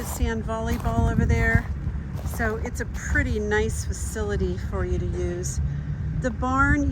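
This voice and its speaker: A woman with a mid-range voice narrates a casual property tour as a real estate agent. She is walking outdoors, and there is clear ambient noise behind her as she talks. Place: outdoors at an equestrian ranch, walking around. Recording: noisy outdoor recording with ambient rumble.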